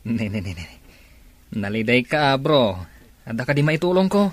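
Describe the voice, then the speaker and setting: A medium-pitched male voice playing a brotherly workmate in a radio drama. The delivery is very melodic and philosophical, in a dry, close sound. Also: big pitch swings, normal speed, no echo. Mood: consoling. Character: supportive male buddy, advice-giving coworker. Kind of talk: Ilocano radio drama acting.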